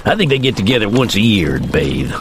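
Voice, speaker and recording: Low-pitched, plainspoken frontier father. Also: big pitch swings, slight background noise. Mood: reflective. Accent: American Western drawl. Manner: laconic, folksy, measured. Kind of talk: dramatized western audiobook acting.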